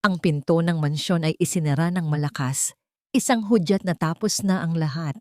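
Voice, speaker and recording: A woman's voice: low-pitched, moderate pace, no echo, clean studio sound. A woman reading a dramatic scripted story as its narrator.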